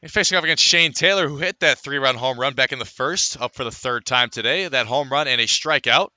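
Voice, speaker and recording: Forceful, bright male baseball announcer. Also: medium pitch, brisk, dry recording. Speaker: radio play-by-play announcer. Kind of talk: live baseball play-by-play commentary.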